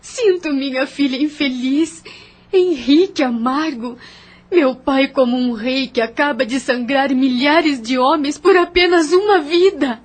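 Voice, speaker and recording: Spirit of a young mother, female actor: high voice, earnest, sorrowful, formal audio drama delivery. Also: measured pace. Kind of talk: audio novel acting.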